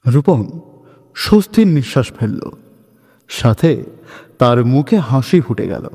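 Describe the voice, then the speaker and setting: A man narrating a dramatized audio story in an expressive storytelling manner. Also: mid-range voice, slow pace, husky, in a large hall.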